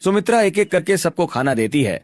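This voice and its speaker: Higher-pitched male story narrator. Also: sing-song, brisk, no room sound.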